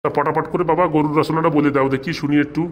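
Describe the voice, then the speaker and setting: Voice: medium pitch, steady tone, fast talker, dull audio, lightly acted. An actor voicing an exasperated, scolding village schoolmaster in a comic cartoon, sarcastic and rustic, with a rural Bengali dialect. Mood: doting.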